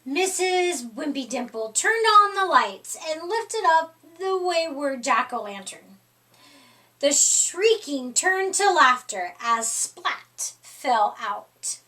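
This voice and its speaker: A woman with a high, bright voice reads a children's picture book aloud. She takes her time and narrates expressively for storytime. The microphone sits a little way off. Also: sing-song, slow, distant mic. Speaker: storytime reader.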